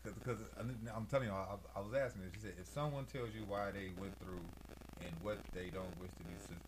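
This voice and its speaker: Low-voiced man talking fast, teasing. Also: noisy background. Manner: teasing, blunt interjections. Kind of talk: casual group chat.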